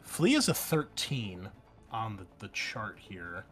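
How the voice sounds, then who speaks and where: A man's voice: lowish voice, sing-song, slow, over music. A man acting as game master of a tabletop roleplaying game, narrating scenes and explaining the rules conversationally.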